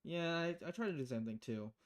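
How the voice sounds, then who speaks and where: Lady putting on a low chill bartender woman for a game, deadpan, dry, takes her time. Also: quick pace. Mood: dry, reflective. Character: calm, deadpan female bartender.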